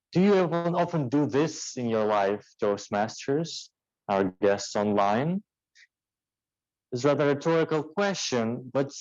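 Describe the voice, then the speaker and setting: A man's voice: mid-range voice, a little mellow, no room sound. A man giving an impromptu motivational speech, using rhetorical questions and short emphatic exhortations. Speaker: impromptu speaker at a speaking club. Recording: dull, muffled lo-fi sound.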